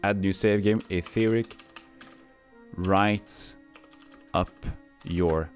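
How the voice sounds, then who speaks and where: Low-voiced man streaming a retro game. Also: fairly even intonation, drawn-out words, soft background music. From Texas. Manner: chatty, reads game text aloud, answers chat. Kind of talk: casual gameplay commentary.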